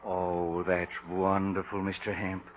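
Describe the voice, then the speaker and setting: Super polite sad little crook, old radio comedy, muffled and hissy. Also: male voice, deep voice, monotone, unhurried. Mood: wistful, humble. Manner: courtly, formal, apologetic. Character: mild, wistful, over-polite old-time pickpocket jailbird. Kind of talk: 1950s radio comedy acting. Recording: noisy, dull 1950 radio recording.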